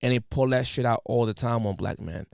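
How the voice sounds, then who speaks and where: A young man from the United States hosting a podcast, ranting in an animated, preachy way with casual slang. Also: medium pitch, brisk, creaky, no echo, studio quality. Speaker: podcast host.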